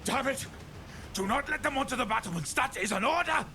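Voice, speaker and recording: A man's voice: high voice, moderate pace, creaky, background noise, pitched above the performer's own voice. A man voicing a stern military commissar in an audio drama, barking urgent orders. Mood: furious, afraid. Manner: shouted, commanding, urgent.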